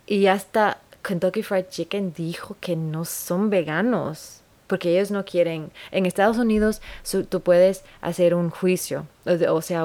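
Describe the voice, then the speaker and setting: A woman's voice: lowish voice, warm tone. A woman hosting a solo podcast in Spanish, which is not her first language, talking earnestly and often restarting as she searches for words. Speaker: podcast host.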